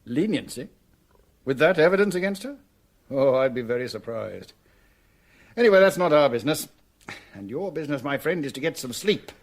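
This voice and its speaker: An actor with a British accent playing a brisk, authoritative French police superior in a radio drama, with a crisp manner. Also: male voice, fairly high pitch, very animated. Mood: firm, wry.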